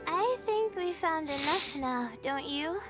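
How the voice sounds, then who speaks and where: A very high-voiced, wistful young woman from a video game. She speaks slowly and gently, lost in fond memories, with music playing behind her. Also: expressive. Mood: content. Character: wistful, gentle young adult woman reminiscing about childhood. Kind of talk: game character dialogue. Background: background game music.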